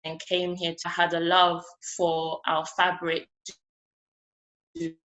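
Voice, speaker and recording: A woman from Ghana gives a conference talk about her art. Her voice sits a little low, and she speaks in an earnest, conversational way. She pauses often with a soft "um" between thoughts. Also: little pitch movement, low-quality recording. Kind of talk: conference speech.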